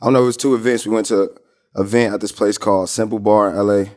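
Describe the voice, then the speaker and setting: Man, American, low voice, podcast guest, casual, slangy storytelling. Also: fairly even intonation, racing, a little mellow. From Minnesota, United States. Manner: slangy, informal, rambling storytelling. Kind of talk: casual interview chat.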